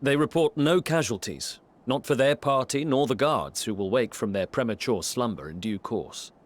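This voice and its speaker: A man plays a composed comrade in a video game. His voice is medium in pitch, and he reports in measured, formal phrases. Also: lively intonation, natural voice. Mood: calm, reporting. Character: calm, formal male comrade giving a scouting report. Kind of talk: dramatic video game voice acting.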